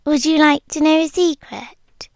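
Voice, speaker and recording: A British woman playing a centuries-old, possessively devoted monster girlfriend in an intimate ASMR roleplay, speaking softly and often slipping into breathy whispers. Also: high voice, a little slow, no room sound, studio quality. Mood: gentle, possessive.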